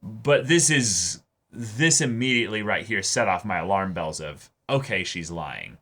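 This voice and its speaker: Man, low voice, bright edge, casual rambling reaction chat. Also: lively intonation, a little slow. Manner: rambling, acts out characters' lines. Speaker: co-host reacting to anime.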